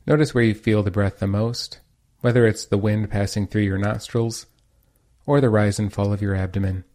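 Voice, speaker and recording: A man with a fairly low voice guides a meditation. He gives simple instructions in a calm, measured way, pausing between phrases as he goes.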